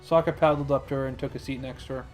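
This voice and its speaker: A man reading fan fiction as narrator, in an expressive storytelling style. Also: medium pitch, rapid-fire, soft background music.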